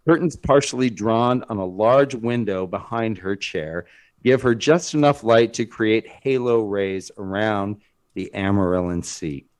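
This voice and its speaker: A man's voice: on the low side, measured pace, darkish tone, rough recording. A man reading scripted dramatic narration as the narrator of a screenplay.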